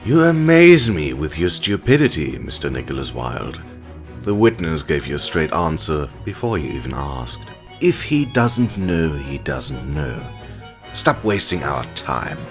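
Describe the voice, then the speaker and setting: A man's voice: rumbling low, big pitch swings, a little slow, faint music behind, full character voice. A man playing a haughty, sardonic animal prosecutor in a courtroom audio drama, theatrical and condescending. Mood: scornful.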